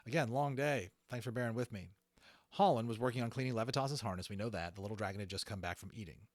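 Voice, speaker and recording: A man narrating a fantasy audiobook in an animated storytelling style, with informal asides. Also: lowish voice, quick pace, no echo, clean studio sound.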